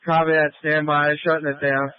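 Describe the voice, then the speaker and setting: A man's fairly high voice comes through a thin, narrowband two-way radio. He is a fire operations officer giving clipped, procedural instructions in emergency radio traffic. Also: little pitch movement, a little fast, smooth, no room sound. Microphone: radio handset.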